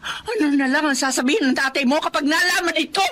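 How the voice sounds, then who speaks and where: A woman's voice: sits high, fast talker, fairly bright tone. A woman acting a worried middle-aged mother in a radio drama, with a Filipino accent and an anxious, emotional way of talking. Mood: scolding, upset. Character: worried middle-aged mother caught between husband and daughter.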